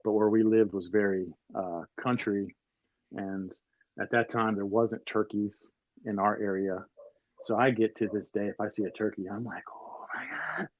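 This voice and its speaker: A man in his forties from Maryland rambles through his stories with plenty of fillers. His voice sits a bit low and sounds dull and lo-fi, with a dry, close feel. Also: steady tone, moderate pace, no room sound. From Maryland, USA. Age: 40s. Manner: rambling storyteller, many fillers. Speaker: podcast guest. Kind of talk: casual chat.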